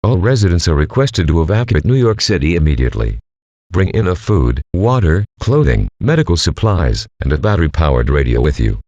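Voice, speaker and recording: Low robotic guy reading emergency alerts, swingy intonation, formal, dry sound. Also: sing-song, a little fast, a little mellow, no echo, highs cut off. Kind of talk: formal scripted evacuation bulletin.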